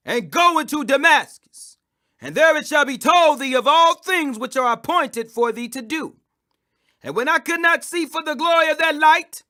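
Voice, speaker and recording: A man's voice: very high voice, pushed and bright. A man reading scripture aloud in a dramatic, declamatory preacher-like style, stressing and drawing out phrases. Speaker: scripture reader.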